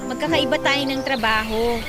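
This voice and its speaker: An actress playing a hot-headed, defensive young daughter and band musician in a Tagalog radio drama, speaking emotionally. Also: female voice, high voice, normal speed, lightly acted. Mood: defensive, irritated. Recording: loud music under the dialogue.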